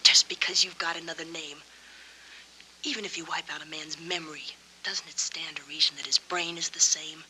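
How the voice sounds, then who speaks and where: An American actress playing an earnest, loyal young lounge singer in a 1940s film noir, coaxing and persistent. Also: female voice, low-pitched, steady tone, normal speed, sharp tone. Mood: earnest, pleading. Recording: faintly noisy 1940s film soundtrack.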